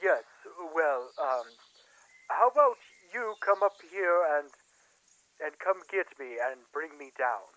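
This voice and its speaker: A man's voice: fairly high pitch, very animated, takes it slowly, fairly bright tone, dry recording, exaggerated voice, rough recording. A man voicing a fussy, timid magical bird servant, prim and indignant, in an audio drama. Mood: timid, stubborn. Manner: prissy, hesitant, indignant.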